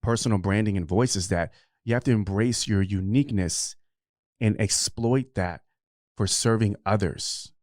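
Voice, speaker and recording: Low-voiced middle-aged man, earnest and rambling. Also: normal speed, darkish tone, no echo, studio quality. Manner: earnest, rambling with frequent fillers. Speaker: podcast consultant and guest. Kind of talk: conversational interview answers.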